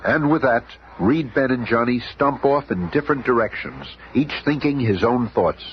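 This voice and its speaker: An actor as a dramatic narrator, giving emphatic scripted narration in a 1970s radio serial. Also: male voice, medium pitch, normal speed, close to an everyday voice. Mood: suspenseful. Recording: muffled, noisy old radio recording.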